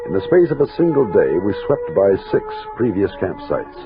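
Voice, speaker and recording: An American actor playing a steady, commanding army captain of a frontier expedition in an old-time radio drama. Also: male voice, on the low side, fairly quick, highs cut off, over music. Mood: reflective narrating. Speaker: lead actor and narrator. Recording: thin, noisy vintage radio recording.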